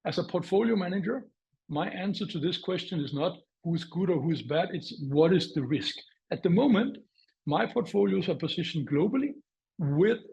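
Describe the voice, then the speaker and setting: A man's voice: fairly high pitch, gravelly, a little mellow, breathy edge, low-quality recording. A man from Denmark, a portfolio manager giving market commentary on a webinar over a video call, thoughtful and prone to restarting phrases, with light laughs. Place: home or office, remote.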